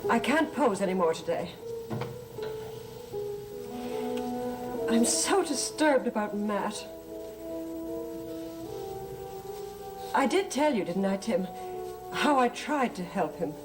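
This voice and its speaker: An actress playing a manipulative, imperious wife who feigns fragility in a gothic soap opera, with theatrical, coaxing questions. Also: female voice, mid-range voice, expressive, normal speed, close to an everyday voice. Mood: anxious, insistent. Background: dramatic music.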